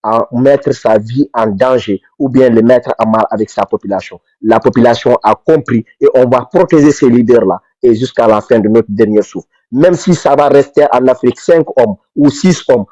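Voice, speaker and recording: A man's voice: mid-range voice, dark tone, dry recording. A man from Senegal, giving an impassioned political speech with fervent, repetitive emphasis. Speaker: pan-African activist speaker. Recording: dull dry lo-fi recording.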